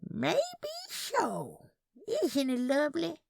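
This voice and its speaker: A woman acts out a tiny old Southern aunt in an audio story, proud and genteel. The voice lifts high with swooping intonation, and she talks in a gentle, folksy, insistent way. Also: huge pitch swings, slow pace, creaky, no echo, clean studio sound, heavily acted.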